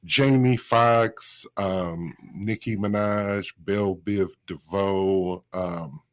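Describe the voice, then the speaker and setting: A man with a deep, low voice chats in a radio studio interview. He tells his story in an upbeat, animated way, clearly a seasoned radio personality. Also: drawn-out words. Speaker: radio host, guest.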